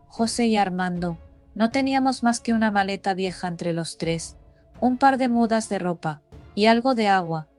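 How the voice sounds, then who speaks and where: A woman's voice: medium pitch, darkish tone. A woman reading a scripted first-person horror story as a narrator. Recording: voice over background music. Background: music.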